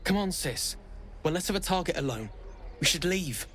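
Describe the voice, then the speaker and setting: A nervous young adventurer tries to calm the group, sounding high and lively. Faint ambience hums underneath. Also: male voice, moderate pace, a little hiss or hum. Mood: placating.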